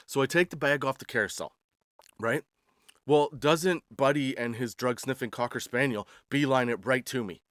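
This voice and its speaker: A man's voice: mid-range voice, unhurried, pauses often, a bit bright, dry recording, studio quality. A man in his forties hosting a podcast, telling personal stories in a wry, slangy, self-deprecating comedic style. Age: 40s. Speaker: podcast host. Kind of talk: comedic personal storytelling monologue.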